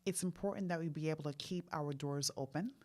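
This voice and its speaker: A woman's voice: deep voice, a little fast, darkish tone. A woman from Brooklyn, co-hosting a casual discussion show, speaking with conviction and affirming her partner often. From Brooklyn, New York. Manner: affirming, speaks with conviction, lots of agreeing murmurs. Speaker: podcast co-host.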